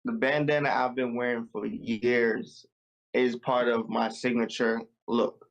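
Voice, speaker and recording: Young Jamaican-born male musician guest, gravelly, relaxed and reflective, on a video call. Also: mid-range voice, measured pace, highs cut off. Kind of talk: casual interview over video call.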